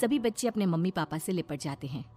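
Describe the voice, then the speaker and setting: A woman narrating a cartoon story in a steady storytelling voice, with soft music behind. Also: lowish voice, quick pace, no room sound.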